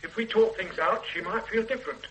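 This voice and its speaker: A man's voice: high voice, brisk, a bit bright, a little hiss or hum, rough recording. A desperate fugitive father in his fifties. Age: 50s. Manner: pleading and insistent. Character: desperate older father, fugitive murder suspect. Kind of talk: 1980s radio drama acting.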